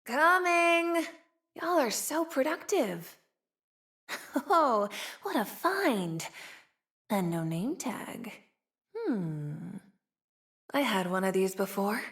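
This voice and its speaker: Casting: cheeky young game heroine, streetwise frontier type, highish voice, unhurried, sly teasing delivery. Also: female voice, expressive, a bit bright, heavily acted. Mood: playful, curious. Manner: teasing, sly, drawling, sighing between wry remarks. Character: playful, cheeky young frontier woman with a tail, streetwise, wry. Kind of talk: video game character voice lines.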